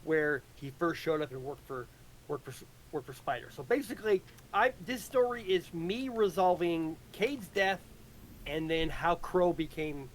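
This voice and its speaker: A man's voice: fairly high pitch, normal speed, slight background noise, low-quality recording. A man casually recapping a story he wrote on a livestream, rambling and repeating words as he explains. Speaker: writer of an audio drama.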